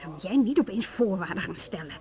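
A woman's voice: medium pitch, lively intonation, quick pace, a little mellow, lightly acted. An actress playing a female music teacher in a radio drama, who talks in a firm, reasoning, insistent way.

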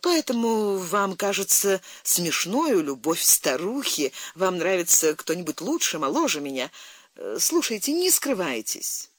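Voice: medium pitch, sing-song, a little slow, no echo, voice pushed up. A narrator voicing an anxious, jealous middle-aged princess in an audiobook, pleading and insistent. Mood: bitter, jealous.

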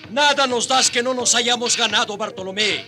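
A man's voice: very high-pitched, moderate pace, music in the background. A man playing a proud, distrustful enslaved native man, defiant and bitter, in a radio drama.

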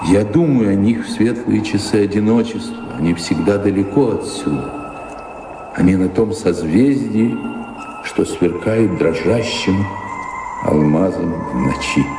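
Brooding baron, unhurried and commanding, music behind, theatrical radio drama. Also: male voice, fairly low pitch. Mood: wistful, rapt. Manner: slow, measured, brooding and commanding. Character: melancholy baron, master of the house, yearning for faraway seas. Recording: reverberant hall, music behind.

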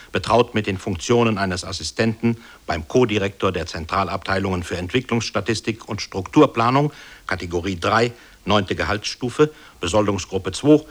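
An actor playing a dry, officious narrator in a satirical radio play, reciting with bureaucratic precision. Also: male voice, fairly low pitch, fairly bright tone. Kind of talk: deadpan scripted satirical narration.

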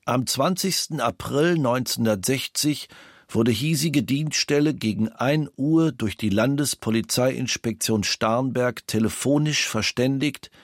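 Sober male police officer: mid-pitched, precise, matter-of-fact documentary reading, dry sound. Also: no echo. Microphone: close studio microphone.

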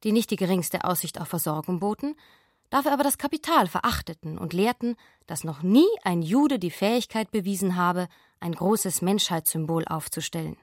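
A woman reading scripted literary narration for a radio play in a measured, composed way. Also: fairly low pitch, expressive, no room sound, studio quality.

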